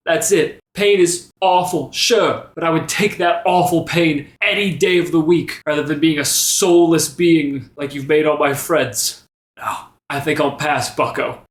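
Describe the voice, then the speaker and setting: A man's voice: fairly high pitch, reverberant, natural voice. A man playing a defiant, heartfelt performer in a fantasy tabletop game, arguing in an earnest, folksy way. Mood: passionate, indignant. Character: defiant, heartfelt mortal performer standing up to a lich.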